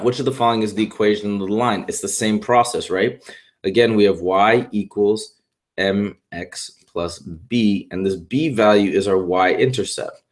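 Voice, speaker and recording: Male math tutor, hoarse, lowish voice, upbeat and chatty while working problems. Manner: upbeat, chatty, thinks aloud. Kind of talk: live-streamed test walkthrough. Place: indoors at a whiteboard and screen share.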